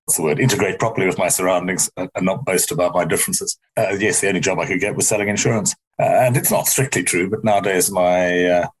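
A middle-aged man from South Africa with a softened South African-English accent, answering in an interview in a rambling, wry way that corrects itself. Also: low-pitched, slightly rough, low-quality recording. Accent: South African softened toward English. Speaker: interview guest, company founder.

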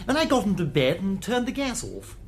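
Flippant posh English chap for radio drama, high, lively voice, clipped slangy delivery. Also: male voice, a little fast, slight background noise, heavily acted. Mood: breezy, flustered. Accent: upper-class English. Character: affable, flippant upper-class English silly-ass gentleman. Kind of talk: British radio drama acting.

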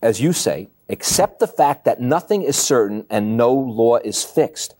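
A man's voice: medium pitch, animated, unhurried, dark tone. A man with a mid-range voice hosts an interview program. He talks conversationally, quoting short points and commenting on them as he steers the discussion. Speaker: interview show host.

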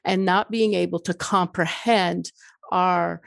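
A woman's voice: lowish voice, steady tone, takes it slowly, creaky, no echo. A middle-aged woman whose first language is Farsi, speaking as an author in an interview in an earnest, reflective way with many restarts. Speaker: author and guest. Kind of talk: interview conversation.

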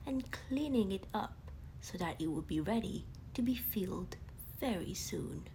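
A woman reads a children's bedtime story very slowly and gently. Her voice is fairly high, with a noticeable creak, and there is faint noise behind it. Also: rough recording.

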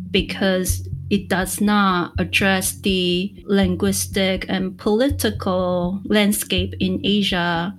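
A woman from Taiwan, a media conservator, giving measured, thoughtful answers as a podcast guest. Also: medium pitch. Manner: measured, thoughtful, slow explaining.

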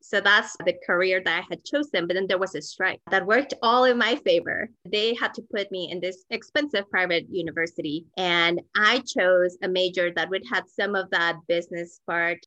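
Woman from mexico, native spanish speaker, bright crisp-edged voice, lowish, close dry sound, chatting candidly about herself. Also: normal speed, hardly any pauses, no echo, highs cut off. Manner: informal, candid. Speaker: pastor, interview guest. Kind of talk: conversational personal storytelling.

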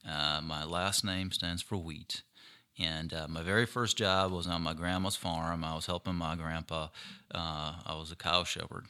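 A man's voice: deep voice, fairly even intonation, moderate pace, bright, forceful tone, smooth. A man with a Ukrainian accent, a guest chatting casually on a podcast and telling easygoing, self-deprecating stories. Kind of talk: casual chat. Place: podcast room.

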